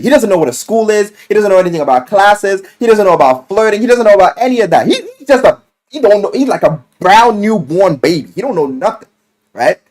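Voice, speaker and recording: Animated man with a higher-pitched voice. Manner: animated storyteller, acting out quoted lines. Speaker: video game reviewer. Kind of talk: casual retelling with slang and swearing.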